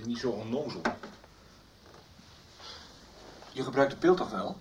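A blunt and impatient lover played by a male actor in a radio soap. His voice sits at a middle pitch. He talks in a casual, dismissive way. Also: expressive, fast, noisy background, lightly acted. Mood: offhand, blunt. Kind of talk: Dutch radio soap acting.

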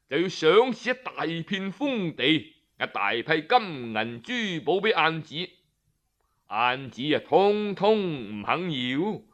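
Bright-voiced, unhurried Cantonese male storyteller. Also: fairly high pitch. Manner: colloquial, expressive, with drawn-out phrases and particles. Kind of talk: traditional Cantonese folk-tale storytelling, audiobook narration.

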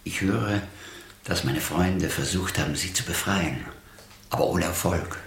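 An actor playing a dignified, eccentric English gentleman in a German radio drama, speaking formally and ceremoniously. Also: low-pitched, measured pace. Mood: solemn, magnanimous. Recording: roomy, echoing interior.

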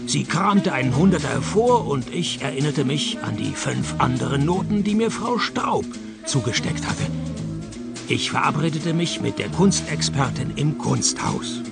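A man playing a cynical, deadpan private detective and narrator in a radio play. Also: fairly high pitch, unhurried, gravelly, loud music behind the voice, heavily acted. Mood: laconic narration. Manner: deadpan, sardonic.

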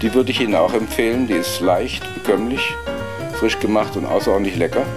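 A polite, wordy waiter, acted out by a man in a comic radio tale. His voice is fairly low, with plucked-string music prominent behind it. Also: a little fast, natural voice. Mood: courteous. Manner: courteous, salesman-like, hesitant fillers. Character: polite, wordy waiter recommending the day's dish.

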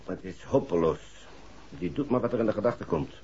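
Weary, low, husky businessman. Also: lively intonation, fairly quick, a little mellow, heavily acted. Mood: exasperated. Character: weary, frank American businessman's agent. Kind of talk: 1960s Dutch radio play acting. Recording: muffled old 1960s radio recording.

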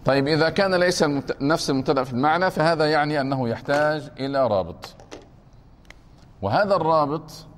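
Man, grammar teacher, fairly high voice, deliberate and repetitive, long hall reverb. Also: slight background noise. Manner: deliberate, repeats points and keeps asking if it is clear. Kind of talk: classroom grammar lesson.

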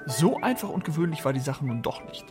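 A man's voice: a little high, sing-song, fairly quick, music in the background. An actor playing a wry, hesitant male narrator in a literary radio play. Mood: wry, deliberate. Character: wry, hesitant male narrator of a 1920s Paris crook tale.

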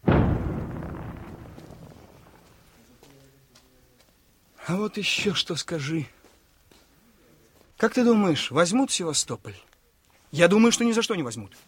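An actor playing an eager, naive young officer and younger brother in a theatrical, exclamatory radio drama style. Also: male voice, sits high, sing-song, moderate pace, husky, full character voice. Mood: curious, earnest. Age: young adult. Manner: exclamatory, boyishly chatty, emotive. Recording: radio play with faint music.

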